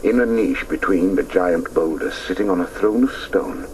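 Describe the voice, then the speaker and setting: An actor playing a reflective grown man who recalls his boyhood in lyrical, intense radio play narration, at times hushed. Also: low voice, animated, moderate pace, background noise. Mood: rapt, awed.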